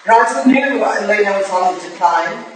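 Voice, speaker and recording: A woman's voice: medium pitch, moderate pace, natural voice. A woman playing a stern, curt, unyielding older Victorian lady detective in a staged play reading. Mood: grave. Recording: echoey hall, distant mic, hissy noise.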